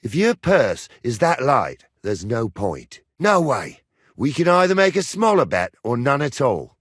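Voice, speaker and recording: A gruff medieval captain from a video game, speaks in blunt, rustic, teasing phrases. His medium-pitched voice swings expressively up and down with cocky swagger. The sound is close and dry. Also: huge pitch swings, a little slow, a bit bright, no room sound, heavily acted. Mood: brisk, bantering. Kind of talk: video game character dialogue lines.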